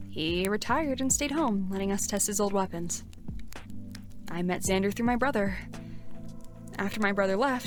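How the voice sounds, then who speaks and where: A woman's voice: medium pitch, natural voice. A woman playing a brash, resentful eighteen-year-old knight in a fantasy audio drama, talking bluntly and sarcastically. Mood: casual, wry. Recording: music behind the voice.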